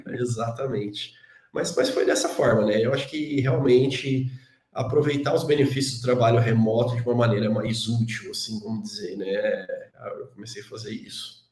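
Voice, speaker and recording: A man's voice: mid-range voice, fairly even intonation, normal speed, darkish tone. A Brazilian man, a guest on an interview show, talking casually with a Brazilian Portuguese accent, tagging his sentences with constant little questions and poking fun at himself. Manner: chatty, constant "né" tag questions, self-deprecating. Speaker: guest, database engineer. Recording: roomy echo.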